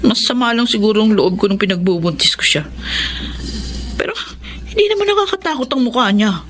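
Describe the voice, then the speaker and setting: A woman's voice: mid-range voice, sing-song, fairly quick, fairly bright tone, slight background noise. A Filipino actress playing a callous, coarse, abusive mother in a radio drama, brash and goading. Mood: resigned, flippant.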